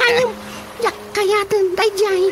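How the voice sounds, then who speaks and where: A woman's voice: extremely high pitch, background noise, full character voice. A woman with an Ilocano accent playing a mocking, teasing female tormentor who giggles constantly in a radio drama comedy. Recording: music behind the voice.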